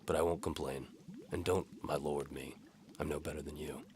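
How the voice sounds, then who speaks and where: A man's voice: rumbling low, fairly even intonation, moderate pace, a little mellow. A man playing a sardonic, world-weary bow for hire, narrating his own story in noir audio drama style. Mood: soft, wry.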